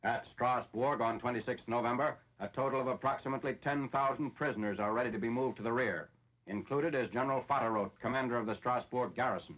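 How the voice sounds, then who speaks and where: A man's voice: medium pitch, quick pace, fairly bright tone. An American man narrating a 1940s military newsreel in a formal, matter-of-fact way. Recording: dull, lo-fi 1940s film soundtrack.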